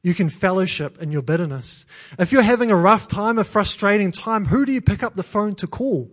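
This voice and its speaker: A man's voice: high-pitched, expressive, brisk, a bit bright. A man from New Zealand with a New Zealand accent, preaching a sermon in church with earnest emphasis, repeated phrases and self-deprecating humor.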